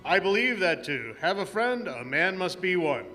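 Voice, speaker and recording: A man's voice: high-pitched, very animated, moderate pace, pushed and bright, soft background music, heavily acted. A man playing an upright, earnest cowboy hero with a declamatory manner in an amateur radio play. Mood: solemn, earnest.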